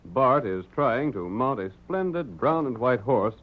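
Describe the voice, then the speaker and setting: A man's voice: medium pitch, expressive, measured pace. An American man narrating a 1930s radio serial in a dramatic, declamatory announcer's style. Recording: dull lo-fi 1930s radio recording.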